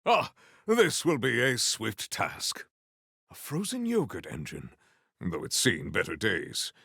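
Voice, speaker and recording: Deep, stern, noble warrior. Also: male voice, creaky, husky, no room sound, clean studio sound, exaggerated voice. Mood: musing. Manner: formal, grandiose, deliberate, deadpan. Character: noble, stern warrior vault hunter, formal and earnest. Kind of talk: theatrical video game character acting.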